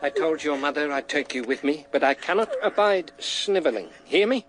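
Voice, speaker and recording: An actor playing a harsh, intolerant uncle who bullies an orphan in a radio drama. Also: male voice, on the high side, expressive, moderate pace, a bit bright, a little hiss or hum. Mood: cold, threatening. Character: stern, callous uncle bullying an orphaned boy.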